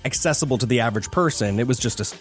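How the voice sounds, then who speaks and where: A man's voice: medium pitch, rapid-fire, soft background music. A man co-hosting a morning radio show with casual, chatty banter. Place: radio studio. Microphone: studio broadcast mic.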